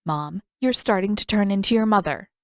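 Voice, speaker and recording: Woman voicing a brisk, practical healer woman. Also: medium pitch, dry recording, studio quality, lightly acted. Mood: exasperated.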